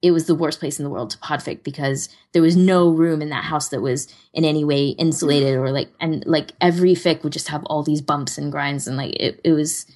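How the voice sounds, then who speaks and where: A woman with a low-pitched voice talks casually as a podcast co-host. She rambles along conversationally and pokes fun at herself as she goes. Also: steady tone, brisk, few pauses, darkish tone. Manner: rambling, self-deprecating.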